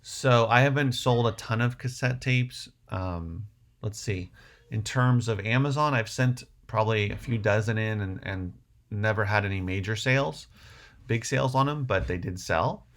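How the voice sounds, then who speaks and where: A man's voice: fairly low pitch, normal speed, fairly bright tone. A man hosting a casual livestream, answering viewer questions in a rambling way, thinking aloud as he goes. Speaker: livestream host.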